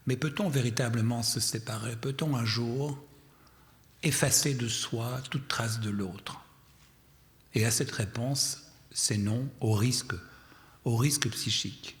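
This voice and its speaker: A man who is not a native French speaker gives a public lecture as a therapist, telling stories with wry, deadpan humour and posing deliberate rhetorical questions. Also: medium pitch. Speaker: psychotherapist giving a public lecture. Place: large reverberant hall, live talk. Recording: long hall reverberation.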